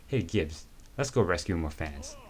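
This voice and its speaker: A man's voice: sits low, lively intonation, measured pace, voice pushed down. A man voices a vain, showy celebrity fighter who expects fans everywhere. He sounds breezy and pleased with himself, read in a homemade comic reading. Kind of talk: amateur dramatic reading of a comic.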